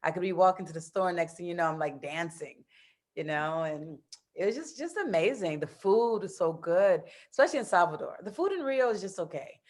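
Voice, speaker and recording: Chatty American woman, lowish voice. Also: brisk. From United States. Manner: chatty and animated, laughs often, many fillers. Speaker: interview guest, expat in Brazil. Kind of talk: casual interview chat.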